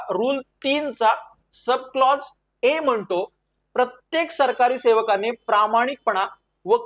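A male exam lecturer explains his material step by step. He mixes English terms into his speech. He leans on key phrases and quotations, slowing down to stress them. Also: very high voice, a bit bright. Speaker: civil services exam lecturer.

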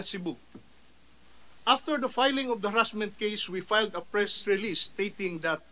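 A middle-aged Filipino-accented man reads out a prepared statement at a hearing, his voice sitting notably high for a man. He keeps a steady, deliberate reading pace and speaks into a table mic in a large hearing room. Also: poor audio. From Philippines. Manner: reads steadily from papers, rising and louder when quoting his own retort. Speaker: official testifying at a Senate hearing. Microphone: table microphone.

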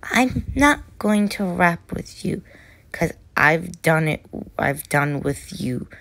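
A woman voicing a sulky, upset little cartoon boy, pouting and stubborn with drawn-out words. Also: lowish voice, lively intonation, creaky, a bit bright, no room sound, exaggerated voice.

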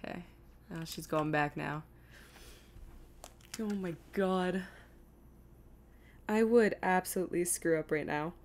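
A woman's voice: fairly low pitch, slow, long pauses. A woman streaming a video game with casual commentary, thinking aloud in a self-deprecating, exasperated way.

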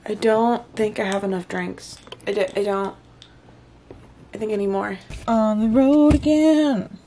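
A woman's voice: medium pitch, measured pace. A young woman in her twenties vlogging casually from her car and a bowling alley, tired and laughing at herself. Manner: tired, giggly, self-mocking. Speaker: vlog host. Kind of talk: casual vlog chat. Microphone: handheld phone camera. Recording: car noise, music and crowd behind.

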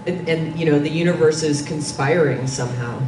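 Female panel presenter, middle-aged, conversational and halting, roomy sound with clear background noise. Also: low voice. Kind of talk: informal conference presentation. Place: dim conference meeting room. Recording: distant, echoey, noisy room recording.

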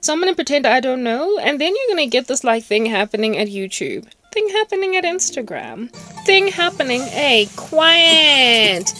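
A woman's voice: high voice, big pitch swings, moderate pace, bright, forceful tone, faint music behind. Sarcastic woman vlogger. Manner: sarcastic, mocking, acts out voices. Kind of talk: animated monologue to camera.

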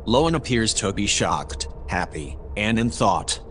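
An actor playing a composed analyst who reports events in a measured, formal way in a sci-fi audio drama. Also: male voice, on the low side, sing-song, fairly bright tone. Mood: composed, observant. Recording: clear background noise and ambience.

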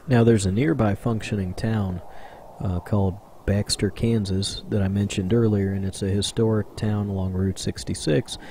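A man's voice: fairly low pitch, fairly even intonation, normal speed, warm tone, a little hiss or hum. A man doing casual voice-over narration for his own exploring video, rambling and chatty with plenty of fillers. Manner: rambling, conversational, with many fillers. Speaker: urban explorer vlogger.

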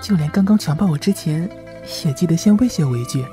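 A meek, devoted young manservant as acted in an audio drama, very mellow and gentle. The voice is timid and breathy, pleading, over faint music. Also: mid-range voice, animated, fast, close to an everyday voice. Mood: tearful, pleading. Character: meek, devoted young male servant, soft and tearful. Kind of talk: Chinese costume audio drama acting.

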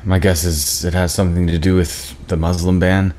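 A man's voice: deep voice, little pitch movement, moderate pace, darkish tone, slight background noise, natural voice. A man playing an awkward, apologetic office boss in his forties in a screenplay table read. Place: indoor room, video call.

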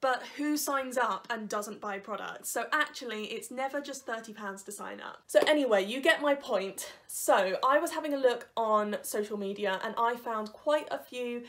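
A woman's voice: on the high side, normal speed, few pauses, fairly bright tone. Chatty young woman, stuffed-up nose. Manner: chatty, candid, laughs between points. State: bunged up from hay fever. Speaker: YouTuber. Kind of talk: casual vlog chat. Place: living room at home. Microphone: camera on a desk in front of her.

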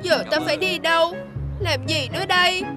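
A woman's voice: extremely high pitch, normal speed. A woman voicing a small, naive, polite tiny-folk character with a childlike, plaintive manner in a children's cartoon fairy tale. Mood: sleepy, wondering. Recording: music under the voice. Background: music.

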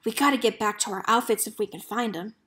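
A woman's voice: a little high, fast, fairly bright tone, close to an everyday voice. A woman voicing an earnest, protective teenage soldier boy from a storybook, with urgent, folksy rural speech. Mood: urgent, concerned.

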